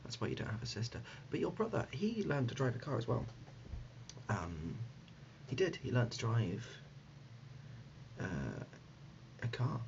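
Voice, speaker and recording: A man playing an absurd, deadpan dad in an ASMR comedy roleplay, speaking haltingly and gently, often dropping to a whisper. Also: mid-range voice, creaky, full character voice. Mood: fond deadpan. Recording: dull lo-fi audio, loud background noise.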